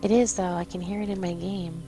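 A woman's voice: fairly low pitch, steady tone, brisk, mellow, a little hiss or hum, poor audio. A woman chatting casually as co-host of a co-op gameplay video. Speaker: co-host gamer. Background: music.

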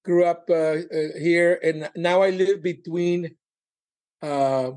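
A man in his sixties, a comedian guesting on a podcast, chatting casually in a halting, informal, joking way. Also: fairly high pitch, fairly even intonation, a little mellow. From Colombia and Miami. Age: 60s. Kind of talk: casual chat.

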